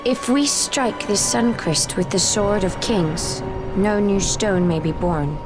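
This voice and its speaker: A composed warrior woman of an elder race, voiced by an actress. Her mid-range voice stays measured and formal. A game score plays underneath. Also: medium pitch, natural voice, rough recording. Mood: calm. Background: game score music.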